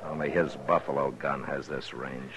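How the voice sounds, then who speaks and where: Low-voiced, steady frontier lawman. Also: moderate pace. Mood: wary, calm. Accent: American. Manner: terse, plainspoken, composed. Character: steady, determined American Old West lawman. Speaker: narrator and lead actor. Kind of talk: 1950s radio western acting. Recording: noisy vintage radio recording.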